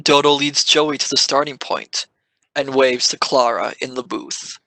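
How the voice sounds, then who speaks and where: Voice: medium pitch, steady tone, a little slow, bright, forceful tone, rough recording. A narrator reading the stage directions of a live amateur radio play in a dramatic way, stressing sudden events.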